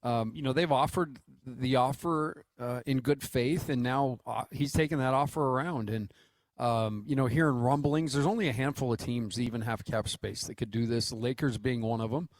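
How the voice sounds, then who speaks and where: A man's voice: medium pitch, normal speed, dry recording. An American man co-hosting sports radio, talking casually and explaining things in a conversational, reassuring way. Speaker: radio co-host.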